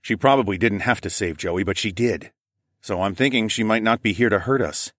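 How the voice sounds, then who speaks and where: A man's voice: on the low side, a little fast, fairly bright tone, dry recording, clean studio sound, lightly acted. A man voicing a firm, protective, sardonic male group leader in an audiobook. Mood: calm.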